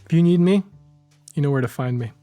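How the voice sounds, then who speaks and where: A man's voice: on the high side, fast, dark tone, dry recording, soft background music, close to an everyday voice. A man playing a cocky, wry tough guy who narrates his own hardboiled noir crime story in slangy language. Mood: breezy, wry.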